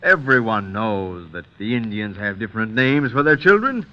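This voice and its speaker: An actor playing a formal trial lawyer, questioning in a measured way in an old radio drama. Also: male voice, on the low side, expressive, close to an everyday voice. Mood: formal, assertive. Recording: muffled vintage radio recording.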